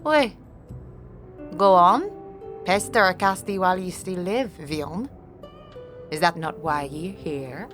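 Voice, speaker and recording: A woman's voice: on the low side, expressive, music in the background. A woman playing a wicked, feral ghoul matriarch in an audio drama, taunting and hungry in archaic speech. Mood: menacing. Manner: slow, taunting, archaic speech mixed with French words.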